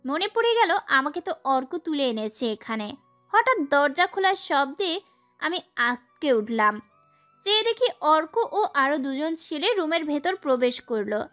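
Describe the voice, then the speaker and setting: A woman narrating a dramatic first-person story as a schoolgirl heroine, in an expressive way. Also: sits high, measured pace, soft background music.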